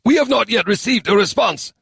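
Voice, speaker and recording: A man voicing an eager, dutiful male parade officer who answers crisply. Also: high voice, lively intonation, fairly quick, a bit bright, no room sound, clean studio sound, voice pushed up, full character voice.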